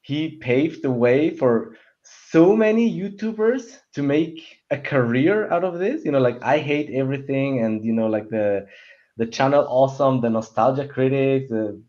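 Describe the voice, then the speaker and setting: A man's voice: medium pitch, lively intonation, moderate pace, a little mellow. A man in a casual panel chat about opinions, rambling and stammering as he often restarts his sentences. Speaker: panelist on a film discussion show. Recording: dull, muffled lo-fi audio.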